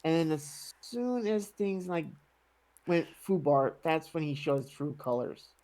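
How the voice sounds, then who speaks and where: A man's voice: a little high, lively intonation, a little slow, darkish tone. A man in casual fan chat as a podcast panelist.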